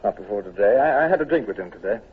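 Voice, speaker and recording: An actor playing a clipped, authoritative naval commodore who also narrates, in a vintage radio drama. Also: male voice, on the low side, animated, rapid-fire, husky. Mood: brisk. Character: brisk, commanding male naval commodore. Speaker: narrator and lead. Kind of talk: 1940s radio acting. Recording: tinny old radio broadcast with faint hiss.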